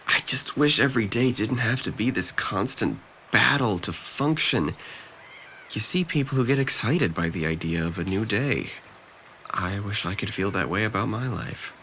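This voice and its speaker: A man playing a troubled young man in an audio drama, very low in pitch yet bright and tense. He is self-critical and apologetic, and there is some noise behind his voice. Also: lively intonation, normal speed. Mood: remorseful, downcast. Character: depressed, self-critical young college-age nephew, apologetic.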